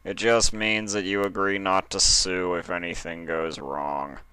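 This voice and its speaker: A fussy male pony clerk from a storybook, voiced by a man. He speaks slowly and formally in a deadpan, crisp voice, every word deliberate. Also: low-pitched, no echo. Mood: dry, polite.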